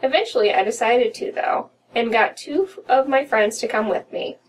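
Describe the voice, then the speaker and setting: Young American female narrator for amateur horror readings, voice on the higher side, slightly distant home recording. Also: moderate pace. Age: young adult. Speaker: podcast co-host reading horror stories. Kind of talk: reading a story aloud. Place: small room at home, indoors. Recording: distant mic with room noise.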